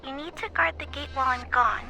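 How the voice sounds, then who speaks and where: A woman's voice: sits high, a little fast, vocal fry. A woman voicing a small, earnest, practical little girl in an anime-style game, heard in a recorded message. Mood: worried, resolute. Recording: recorded message with music behind.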